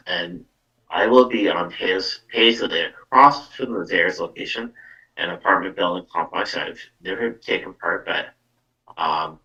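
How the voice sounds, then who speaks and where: Deep-voiced Canadian man, muffled and distant. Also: pushed and bright. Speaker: podcast host and psychic medium. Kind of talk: casual live show chat with announcements.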